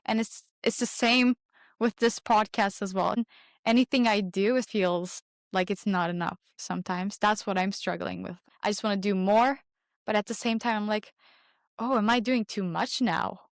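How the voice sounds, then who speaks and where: A teenage woman from Turkey, a podcast producer chatting as a guest, bubbly, with quick laughs and trailing pauses. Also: medium pitch, fairly even intonation, no room sound, studio quality. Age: teens. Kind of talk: casual interview chat.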